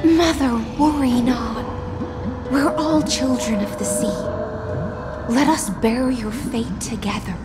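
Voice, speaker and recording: A brave, devoted young siren of the sea in a game cutscene. Her voice is fairly high and slow, earnest and solemn. Loud music plays behind her. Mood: earnest devotion. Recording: music and ambient noise behind.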